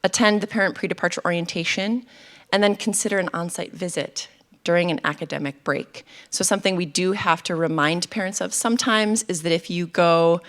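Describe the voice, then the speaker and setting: A woman's voice: lowish voice, fairly quick, fairly bright tone. A woman from a university's study abroad office explaining to parents how they can support their students, with filler ums. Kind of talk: informational presentation.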